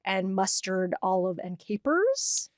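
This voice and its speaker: A woman's voice: medium pitch, big pitch swings, takes it slowly, no room sound, studio quality. A woman co-hosting a casual podcast. She laughs often and reads out lists of dishes. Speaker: podcast co-host.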